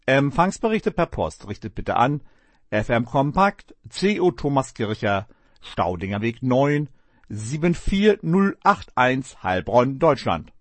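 Guy reading his radio segment, lively up and down, dry close mic. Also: mid-range voice, slow pace, dry recording. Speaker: radio history contributor.

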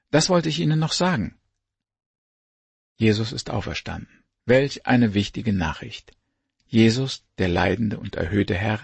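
A man reading a devotional story aloud in a measured, earnest way. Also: lowish voice, creaky, breathy edge, no room sound. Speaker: devotional reader.

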